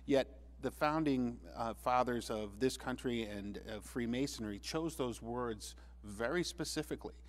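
A man speaking earnestly on a panel discussion, measured, with frequent restarts. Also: mid-range voice.

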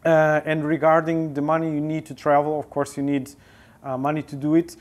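A man's voice: a little high, completely flat delivery, brisk. A man in his forties with a Portuguese accent, answering interview questions thoughtfully with many hesitations, on a lapel mic in a studio. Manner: thoughtful, frequent filler hesitations. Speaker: interview guest, photojournalist. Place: indoor studio with sofa.

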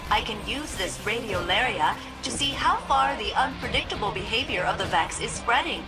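An actress playing a chirpy, eager, polite spaceship AI in a video game, precise and formal, with a synthetic filter. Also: female voice, on the high side, animated, normal speed, loud music behind the voice, poor audio. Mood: cheerful.

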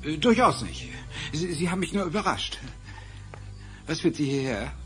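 An actor playing a nervous, secretive and evasive orchid breeder with a hesitant manner in an audio drama. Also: male voice, a little high, sing-song, moderate pace. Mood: flustered. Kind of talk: German audio drama acting. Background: music.

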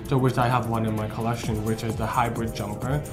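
Flat-voiced young man designer. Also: mid-range voice, fairly quick. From Vancouver, Canada. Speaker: fashion designer guest. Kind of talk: describing his work in an interview. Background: background music.

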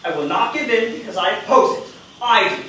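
A man playing a principled, unbending English statesman in a period stage play, with measured, declamatory delivery. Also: high-pitched, expressive, pushed and bright. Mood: impassioned. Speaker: stage actor. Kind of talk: theatrical period stage acting. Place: large theatre stage, indoors. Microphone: distant camera in the audience. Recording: echoey theatre from far off, background noise.

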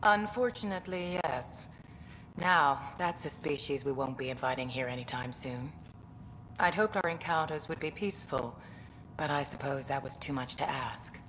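A woman's voice: a bass voice, moderate pace, fairly bright tone, big-room sound, a little hiss or hum, low-quality recording. A woman voicing a polite, welcoming alien woman who runs a cultural center in a video game. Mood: rueful.

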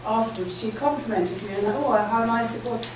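A woman speaks up from the audience of a clergy workshop. Her talk is hesitant and quiet, her voice far from the mic. The room is very echoey, with a clear hiss underneath. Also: fairly high pitch, brisk. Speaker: workshop participant. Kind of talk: audience comment.

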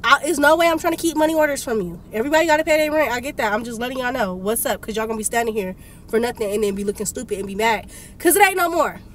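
A young woman talks into a dashboard phone from her parked car. She sounds sick and congested, but she tells her story animatedly and vents with plain irritation. Her voice sits a little high, with a faint hum of car noise behind it. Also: animated, racing, a bit bright. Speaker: vlogger. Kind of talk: casual vlog rant. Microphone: phone camera on dashboard.

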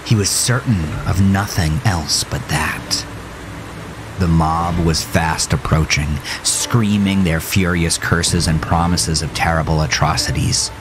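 A man's voice: low-pitched, slow, background noise. A man giving dramatic scripted horror narration in an ominous, theatrical manner. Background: music.

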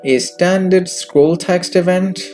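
A man presenting a software tutorial in scripted narration, recorded as a close voice-over with music behind him. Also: high-pitched, takes it slowly. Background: background music.

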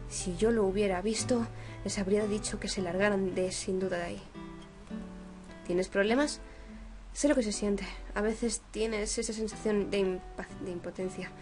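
Woman reading as a dazed, gentle young man. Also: mid-range voice, lightly acted. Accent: Spain. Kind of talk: reading game dialogue aloud.

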